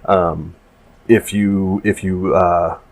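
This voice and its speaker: A man's voice: sits low, steady tone, extremely slow. A man with a stuffy, nasal voice from allergies, casually narrating his prop-building work with lots of restarts. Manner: rambling, full of restarts and fillers.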